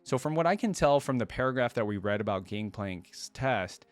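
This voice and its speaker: A man's voice: medium pitch, expressive, normal speed, no echo. A man hosting a reaction video, thinking aloud in an informal, casual commentary style.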